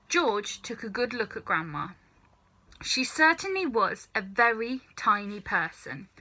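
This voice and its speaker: Bright, unhurried woman reading a children's story. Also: a little high. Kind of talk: expressive scripted children's story narration.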